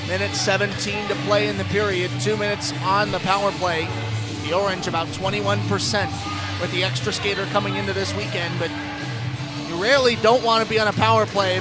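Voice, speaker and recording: A man's voice: high voice, steady tone, fairly quick, over loud music, rough recording. An American man calling live ice hockey play-by-play as a radio announcer. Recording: clear rink noise behind commentary. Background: ice hockey game noise.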